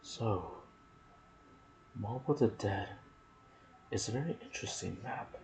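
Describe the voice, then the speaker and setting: A man with a low, creaky voice narrates game lore in ASMR style. He speaks softly in halting phrases, often dropping toward a whisper, over faint steady noise. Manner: soft-spoken, breaking into whispers. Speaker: gaming channel host.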